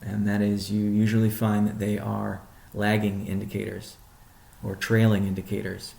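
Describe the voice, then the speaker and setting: A man speaking as a company chief executive on a conference call, answering questions in a measured, deliberate, halting way. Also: on the low side, completely flat delivery, gravelly, dark tone, reverberant.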